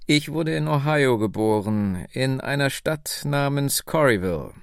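A man reading the lines of a wry, nearly hundred-year-old woman telling her family's story, slowly and slyly. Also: medium pitch, lively intonation, vocal fry, clean tone, no room sound, clean studio sound. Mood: reminiscing. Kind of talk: radio book reading.

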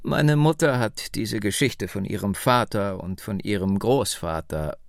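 A man voicing a slow, wistful old woman of nearly a hundred. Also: fairly low pitch, vocal fry, no echo, studio quality. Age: older. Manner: slow, measured, gently insistent. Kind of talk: audiobook dialogue.

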